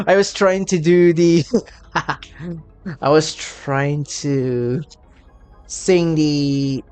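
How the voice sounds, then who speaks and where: A woman's voice: low-pitched, dark tone, clear, resonant tone. A woman hosting a gaming video with casual, playful commentary and exclamations. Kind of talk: casual playthrough commentary with character lines read along. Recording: game music behind the voice. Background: game music.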